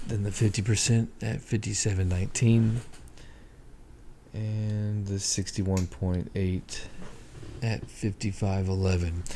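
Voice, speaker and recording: A man with a very low, gravelly voice talks slowly through trading charts. He sounds hoarse and pauses often to think aloud. Faint background noise sits under the recording. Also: dark tone. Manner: slow, thinking aloud with many ums. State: hoarse, clearing his throat. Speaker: market analyst. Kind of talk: screen-share chart walkthrough.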